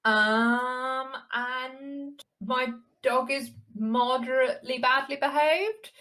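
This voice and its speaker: A young woman chats as a podcast co-host in a bright, forward voice pitched a little high. She has a wry, casual way of talking. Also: fairly even intonation, slow. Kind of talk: casual chatty banter.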